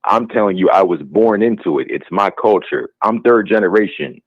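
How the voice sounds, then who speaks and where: Man, caller, very deep voice, phone-line sound, insistent, dry. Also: animated, normal speed, breathy edge, no room sound. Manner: insistent, talks over the host. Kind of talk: call-in debate.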